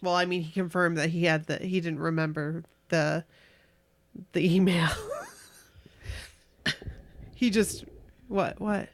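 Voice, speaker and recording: A woman's voice: on the low side, takes it slowly, long pauses, dry recording. Chatty, giggly woman, close dry sound. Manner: giggly, gushing, reacts excitedly. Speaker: podcast co-host. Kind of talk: casual chat, reading listener stories aloud.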